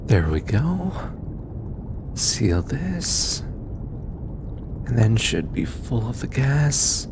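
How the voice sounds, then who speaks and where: A man voicing a gentle, teasing alien scientist in an intimate audio roleplay, eager and given to chuckling. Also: mid-range voice, sing-song, drawn-out words, long pauses, background noise, heavily acted. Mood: focused, explaining. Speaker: voice actor.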